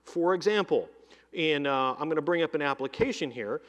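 A middle-aged man presents a software demo from a conference stage. His voice sits a bit high and stays energetic as he rattles off long lists. A headset mic picks him up, and the big hall adds a long reverb tail. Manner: energetic, lists items in quick runs. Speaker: keynote presenter demoing cloud software. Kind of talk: live keynote demo.

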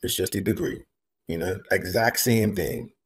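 A very low-voiced man from Bermuda speaks earnestly and deliberately, leaning on repeated phrases to drive a point home. He is a guest on a video call from a room at home. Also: slightly rough. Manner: earnest, measured, repeats key phrases for emphasis. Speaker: guest, homeless advocate. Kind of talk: reflective interview talk. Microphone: video call, laptop camera.

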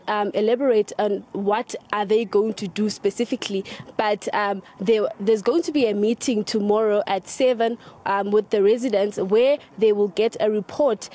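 A South African woman in her twenties giving a halting live news report outdoors into a handheld microphone. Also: medium pitch, a little mellow, a little hiss or hum. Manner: halting, hesitant delivery. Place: outdoors in open grassland.

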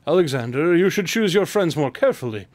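Aloof, witty radio-drama detective played by a man, formal and precise, higher register, close dry sound. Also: lively intonation, quick pace, no room sound, lightly acted. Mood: cool, commanding. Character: witty, aloof, analytical male detective, formal and dryly teasing.

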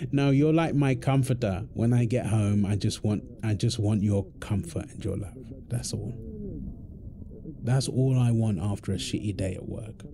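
A man playing an affectionate, tired husband and father in an intimate audio roleplay, talking tenderly with soft endearments. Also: medium pitch, slow, pauses often. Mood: tender, playful. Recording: clear background ambient noise.

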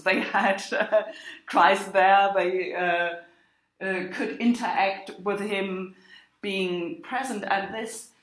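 A woman giving an academic lecture, explaining in a halting way as she thinks aloud. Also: medium pitch, fairly bright tone. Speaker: university lecturer. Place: indoor room with echo. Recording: echoey room.

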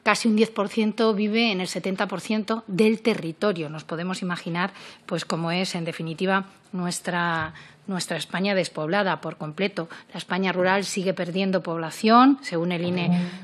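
A middle-aged woman with a central Spanish accent giving a formal political speech at a committee desk microphone, earnest and somewhat halting as she works from notes. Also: lowish voice, steady tone. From Castilla-La Mancha, Spain. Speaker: member of parliament. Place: parliamentary committee room. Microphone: gooseneck desk microphone.

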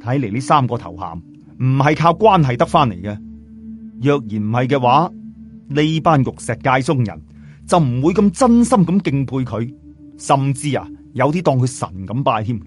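Expressive male Cantonese storyteller over music. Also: mid-range voice, normal speed. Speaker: audiobook narrator. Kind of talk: scripted storytelling narration. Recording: music bed under voice. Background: background music.

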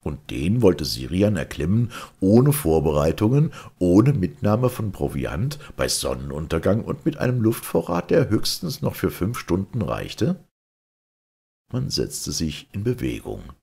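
A man narrating an old science-fiction novel as an audiobook, in a dramatic, measured storytelling manner. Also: sits low, huge pitch swings, vocal fry, mellow, breathy edge.

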